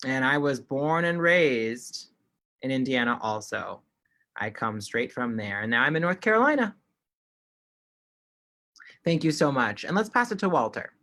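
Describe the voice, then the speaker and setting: A man's voice: medium pitch, sing-song, a little slow, long pauses, bright, forceful tone. A man working as a sign language interpreter, voicing panelists' signed remarks in the first person over an online call, with frequent restarts.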